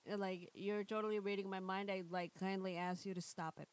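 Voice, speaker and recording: A woman hosting a casual visual novel stream, talking haltingly in a playful, teasing way. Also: medium pitch, flat delivery, clean tone. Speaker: host of the livestream.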